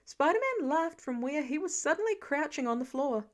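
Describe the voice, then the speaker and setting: A woman narrates a piece of fan fiction. Her voice sits high and moves around a lot in pitch, giving the storytelling an expressive, animated feel. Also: normal speed. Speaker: podfic reader.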